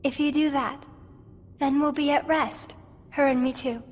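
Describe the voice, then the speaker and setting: A woman playing a gentle, wistful teenage girl in a video game, speaking softly and solemnly. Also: high-pitched, steady tone, a little slow. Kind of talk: video game cutscene acting.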